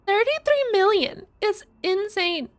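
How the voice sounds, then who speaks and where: Casting: female game streamer, extremely high voice, conversational, theorizing and reading aloud as she reacts. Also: expressive, a little slow. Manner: thinking out loud, conversational.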